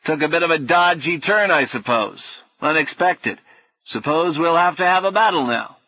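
A man's voice: on the high side, animated, normal speed, no room sound, muffled recording, voice pushed up. A man voicing a vague, befuddled, polite knight in an audiobook, with clipped, dithering, chummy old-fashioned phrasing. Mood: vague, apologetic. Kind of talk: audiobook character acting.

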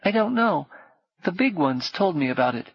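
A man voicing a frightened, grieving young boy who speaks softly and haltingly through tears. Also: high voice, big pitch swings, creaky, slightly rough, dry recording, dull audio, voice pushed up, exaggerated voice. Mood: wistful. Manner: tearful, halting, soft.

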